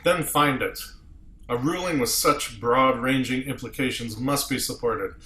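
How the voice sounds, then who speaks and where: A man's voice: medium pitch, unhurried, sharp tone. A man playing a stern, principled starship captain in a table read, formal and commanding, recorded in an echoey room. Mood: firm, indignant. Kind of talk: amateur table read of a sci-fi script.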